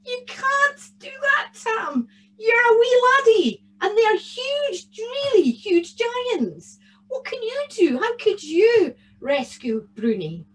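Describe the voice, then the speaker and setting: A woman's voice: high voice, wildly swooping pitch, takes it slowly, few pauses, mellow, a little hiss or hum, full character voice, rough recording. A woman with a Scottish accent voicing a worried, protective mother in a children's story, pleading and emphatic. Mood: alarmed, pleading. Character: worried, protective mother of a young farm boy.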